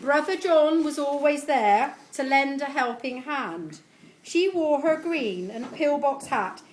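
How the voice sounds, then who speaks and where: A woman's voice: high voice, animated, takes it slowly, poor audio. A middle-aged woman reads a homemade rhyming poem aloud to gathered guests. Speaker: family member reading a tribute poem at a party. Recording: live event recording with clear background noise.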